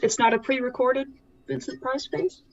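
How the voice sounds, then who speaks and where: A woman's voice: sits high, fairly even intonation, moderate pace, muffled recording. A woman chatting casually as a player in a tabletop game.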